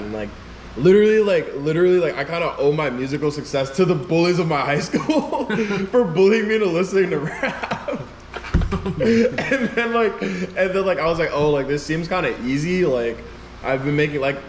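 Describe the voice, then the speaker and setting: A young man from Chicago, a musician guest, chatting casually in an interview. He gets animated, laughs often and leans on "like" a lot. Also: mid-range voice, background noise. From Chicago, United States.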